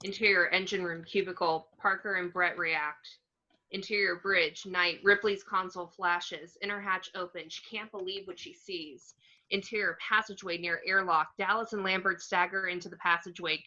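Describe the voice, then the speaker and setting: A woman's voice: lowish voice, steady tone, normal speed, pushed and bright, muffled recording. A woman reading screenplay stage directions aloud as scripted narration.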